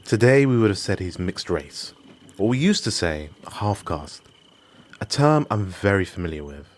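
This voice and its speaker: A man's voice: deep voice, expressive, moderate pace. A man from Morocco hosting a podcast, giving scripted documentary narration in an emphatic, personal storytelling manner. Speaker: podcast host.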